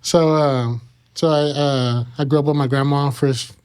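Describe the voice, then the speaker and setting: Halting American man, clear, even voice. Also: on the high side. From Kansas and Mexico. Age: 30s. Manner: halting, frequent "you know" pauses. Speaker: interview guest. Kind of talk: casual personal storytelling.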